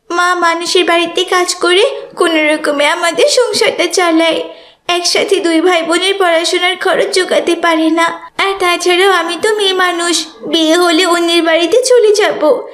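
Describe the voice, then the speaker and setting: A poor, bright schoolgirl from a moral cartoon. Her voice is extremely high and plaintive. She speaks earnestly, with stubborn determination. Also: steady tone, moderate pace. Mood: sorrowful. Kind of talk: cartoon voice acting.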